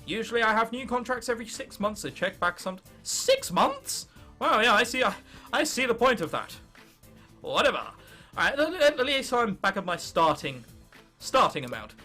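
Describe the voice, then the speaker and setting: A man's voice: very high voice, very animated, moderate pace, pushed and bright, soft background music. A young man of about nineteen with an English accent, giving casual, jokey gaming commentary and reading game text aloud. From England. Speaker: gaming video host.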